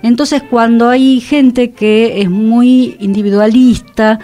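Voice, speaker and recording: Female Argentine radio co-host, slightly high voice, conversational and reflective, explains her thoughts at length. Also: fairly even intonation, slow, mellow, faint music behind. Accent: Argentine, Rioplatense. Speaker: radio co-host, theatre director and actor.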